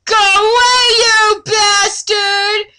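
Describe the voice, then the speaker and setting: A woman's voice: extremely high pitch, flat delivery, extremely slow, noisy background, voice pushed up, exaggerated voice. A woman voicing a young princess girl, whiny and pleading, in an exaggerated, dramatic read of an amateur story. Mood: distressed.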